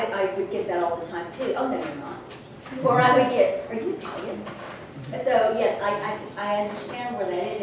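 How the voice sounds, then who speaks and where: A woman from Spain moderating a panel discussion, halting and restarting her questions as she goes. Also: medium pitch. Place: large echoey lecture room. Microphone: far room microphone. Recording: echoey room, distant mic, background noise.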